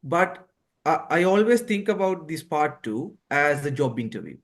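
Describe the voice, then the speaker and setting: A man's voice: fairly high pitch, big pitch swings, normal speed. A man who is a non-native English speaker, answering interview questions as a guest doctor, rephrasing hesitantly as he explains. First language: not English. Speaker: guest, doctor who passed a surgical exam.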